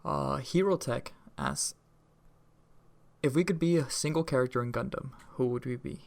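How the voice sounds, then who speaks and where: A man's voice: mid-range voice, normal speed, vocal fry. A man co-hosting a podcast, chatting and telling stories in an informal, casual way. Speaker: podcast co-host. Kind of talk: casual chat and storytelling.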